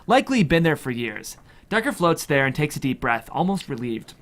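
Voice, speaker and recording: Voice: mid-range voice, big pitch swings, fairly quick, fairly bright tone. A narrator reading screenplay scene headings and action lines at a table read. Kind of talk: scripted narration of screenplay stage directions.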